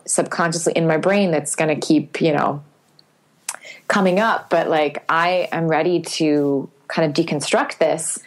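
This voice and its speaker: Chatty woman guest, low voice. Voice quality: sweet singing voice. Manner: thoughtful, many fillers, rising to animated. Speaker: interview guest. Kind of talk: candid podcast interview.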